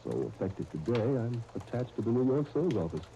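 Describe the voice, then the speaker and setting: A man's voice: mid-range voice, sing-song, fast talker, creaky, warm tone. An actor playing a genial, self-mocking young businessman with playful, mock-grand phrasing in a 1930s radio drama. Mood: jovial. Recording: dull, noisy 1939 radio broadcast.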